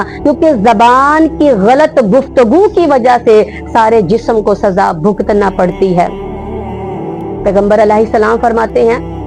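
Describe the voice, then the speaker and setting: A woman teacher delivers a religious lecture in a fairly high voice. She talks in rhetorical runs of repeated parallel phrases. Faint music plays behind her. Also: poor audio. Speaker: Islamic teacher.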